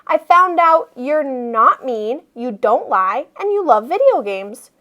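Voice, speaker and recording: A woman voicing an enthusiastic schoolteacher who is fond of her pupils and speaks in emphatic, deliberate lists. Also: high voice, big pitch swings, pushed and bright, exaggerated voice. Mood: knowing, excited.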